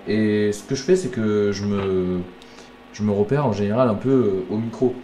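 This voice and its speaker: Low-voiced guitar teacher with a cold. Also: male voice, moderate pace, lo-fi sound, slight background noise. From southern France. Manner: relaxed, chatty, explains step by step with fillers. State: has a cold, coughing. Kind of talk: casual guitar lesson.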